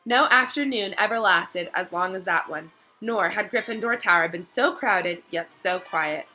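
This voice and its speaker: A woman reads a children's novel aloud as narrator. Her voice is bright and forceful at a middle pitch, in an expressive storytelling style. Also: normal speed, soft background music, rough recording.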